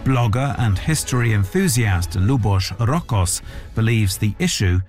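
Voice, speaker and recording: A man's voice: fairly low pitch, lively intonation, a little slow, darkish tone, soft background music. A man working as a radio correspondent, narrating a scripted news report.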